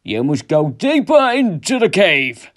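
A man voicing an eerie phantom parent in a storybook, slow and beckoning. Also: sits high, very animated, a bit bright, pitched above the performer's own voice, full character voice. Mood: eerie, luring. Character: eerie parental phantom voices luring deeper into cave.

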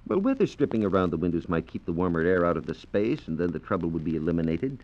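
A deep-voiced American man explains science in a formal, scripted 1940s radio style. His pitch moves in a lively way through measured sentences. The sound is dull and band-limited, from an old transcription disc. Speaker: science reporter on a radio program.

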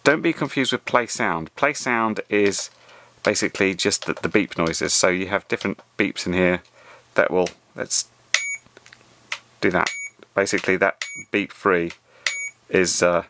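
Low-voiced man giving a casual tutorial. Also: a little slow, pauses often, background noise. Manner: conversational, explains step by step. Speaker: tutorial presenter. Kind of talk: casual spoken tutorial over a hands-on demonstration.

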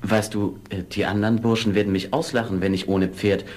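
Man as a humble, low-voiced young miller lad. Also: steady tone, fairly quick, a little mellow, close to an everyday voice. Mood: earnest, modest. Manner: hesitant, modest, old-fashioned exclamations. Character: humble, good-natured young male miller's apprentice. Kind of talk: fairy-tale radio play acting.

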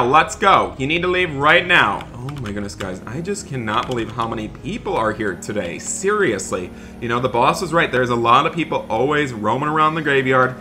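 A man's voice: medium pitch, bright, forceful tone. A young man doing dramatic, emphatic roleplay commentary over a video game for his viewers, often repeating his exclamations. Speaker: gaming video creator. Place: indoors, voice-over on game footage. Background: music.

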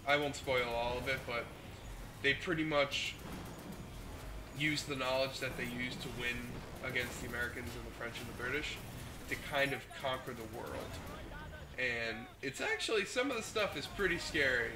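A young man who is sick with the flu talks loosely over his gameplay, a little hoarse and quick to swear at what happens on screen. His voice sits at an ordinary pitch. Clear background noise hangs in his home recording. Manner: profane, reacts out loud to the game. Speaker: gaming host. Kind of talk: casual gameplay commentary. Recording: noisy home mic recording.

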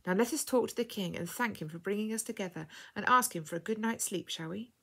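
A woman voicing a kindly, devout captive cook in a story, speaking softly and invitingly in a motherly way. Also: medium pitch, fast talker, vocal fry, husky, pitched above the performer's own voice. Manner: soft, inviting.

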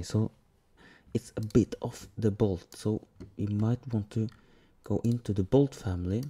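A man hosting a software tutorial, talking through each step plainly with pauses over the sound of clicks and typing. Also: fairly low pitch, husky. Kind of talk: screen-recorded software tutorial.

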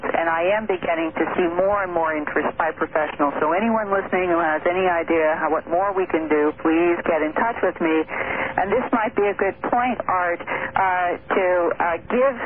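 A woman speaking as a guest researcher on a radio show, earnest, measured and articulate, with formal wording. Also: lowish voice. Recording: noisy narrow-band telephone radio line.

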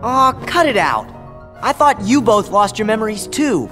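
A man's voice: high-pitched, sing-song, normal speed. A man playing an earnest, kind-hearted teenage boy hero in a game cutscene, sincere and reassuring. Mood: embarrassed. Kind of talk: animated game cutscene acting. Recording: long reverb, music behind.

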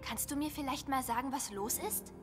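A woman's voice: high voice, moderate pace, soft background music, natural voice. An actress playing a thoughtful, puzzled teenage schoolgirl in an anime audio drama.

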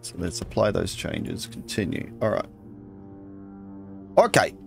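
A man's voice: deep voice, animated, unhurried, creaky. A man hosting a let's play, casually thinking aloud and reading game text as he goes. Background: game music.

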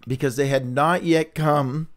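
A man's voice: a little high, fairly even intonation, unhurried, noisy background. A man hosting a casual livestream Bible reading, chatty and upbeat, greeting viewers by name, with a runny, stuffy nose.

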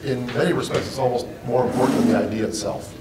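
A man's voice: medium pitch, fairly even intonation, fast talker, recorded from a distance. Older lawyer guy on a panel, confident, a bit jokey, big echo, off-mic, room noise. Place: conference room.

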